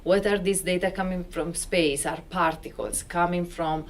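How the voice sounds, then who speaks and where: A middle-aged woman from Italy with an Italian accent, speaking on a university panel in animated, non-native English and sometimes searching for words. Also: fairly low pitch, normal speed. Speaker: physicist on a panel. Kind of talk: panel introduction. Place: university lecture room with audience. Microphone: handheld mic.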